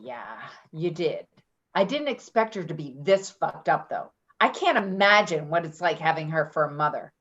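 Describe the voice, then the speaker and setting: An actress plays a tough ex-convict woman in a stage drama. She is blunt and quick to bristle, her pitch moving widely, on a slightly dull recording. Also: lively intonation, a bit bright. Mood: guarded. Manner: blunt, combative, defensive. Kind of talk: dramatic play reading, naturalistic dialogue.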